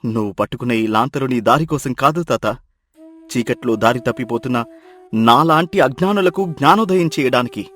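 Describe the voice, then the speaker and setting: A man's voice: mid-range voice, a little fast, fairly bright tone, faint music behind, close to an everyday voice. An actor playing an educated young city man, humbled and repentant, speaking earnestly and emphatically in a dramatized moral story. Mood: remorseful.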